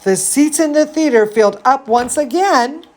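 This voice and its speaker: A woman's voice: sits high, animated, moderate pace. A woman voicing an earnest young zombie girl ballerina who tells her own storybook tale with theatrical, stretched-out words. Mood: proud.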